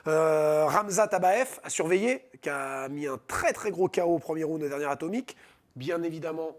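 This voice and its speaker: A man's voice: fairly high pitch, expressive, normal speed. A man with a Belgian French accent, an MMA announcer, presenting a fight card with enthusiasm and lots of fillers. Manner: enthusiastic, hesitant with many fillers, listing names. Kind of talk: casual promotional talk.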